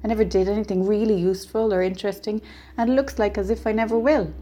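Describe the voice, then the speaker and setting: Woman as a bitter, mellow-voiced young male clerk. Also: mid-range voice, expressive, moderate pace, close to an everyday voice. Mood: bitter despair. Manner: gruff, scornful, emphatic complaining. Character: bitter, despairing young male small-town bank clerk.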